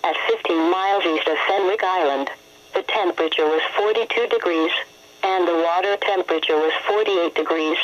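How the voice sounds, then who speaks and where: A woman's voice: lowish voice, moderate pace. A woman announcer reading a scripted report of weather conditions in a formal, automated-sounding way. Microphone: radio broadcast feed. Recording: dull lo-fi weather radio broadcast.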